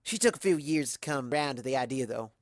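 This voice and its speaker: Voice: medium pitch, expressive, fairly quick, no room sound, clean studio sound, close to an everyday voice. A storyteller voicing an affectionate female cartoon farm pony with folksy country talk. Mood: warm, candid.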